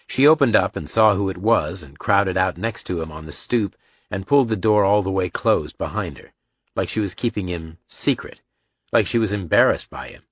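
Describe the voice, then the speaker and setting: Audiobook narrator: male, very low husky voice, close dry sound. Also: animated, normal speed, dry recording. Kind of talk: scripted narration of a crime story.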